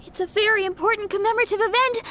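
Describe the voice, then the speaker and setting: A woman's voice: very high-pitched, steady tone, very fast, a bit bright, slight background noise. A woman playing a naive, trusting young church sister in a video game, earnest and flustered, hesitating as she talks.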